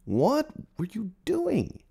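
A man performing a radio play as a merciless, taunting inner narrator, speaking in literary second person with theatrical, accusing emphasis and a husky voice. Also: extremely high pitch, wildly swooping pitch, slow, warm tone, pitched above the performer's own voice, exaggerated voice. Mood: taunting, scornful.

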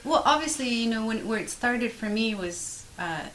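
A woman from Morocco whose first language is Arabic, an author giving thoughtful, measured answers in an interview and restarting phrases as she thinks. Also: a little high, lively intonation, a bit bright, a little hiss or hum.

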